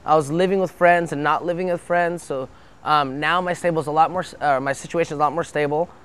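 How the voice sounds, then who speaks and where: A man's voice: a little high, fairly even intonation, fairly quick, fairly bright tone, smooth. A young man from Brazil, speaking earnestly in a documentary interview and often stopping to restart his sentences.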